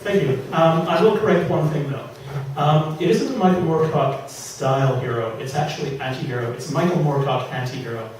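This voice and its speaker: A man's voice: on the high side, normal speed. A man, an author giving a theatrical, emphatic live reading of comic fantasy fiction. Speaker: author reading from own novel. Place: public reading at an indoor venue. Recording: echoey room from far off, faint noise.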